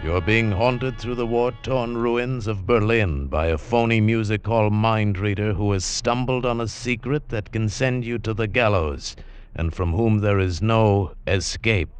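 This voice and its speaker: A man narrating an ominous 1950s radio thriller teaser in a dramatic, measured way. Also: fairly low pitch, smooth, soft background music. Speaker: radio announcer.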